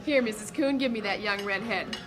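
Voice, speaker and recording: A woman's voice: mid-range voice, a little fast, heavy background noise, natural voice. A woman playing a cheerful, take-charge helper in an audio drama, bustling and emphatic.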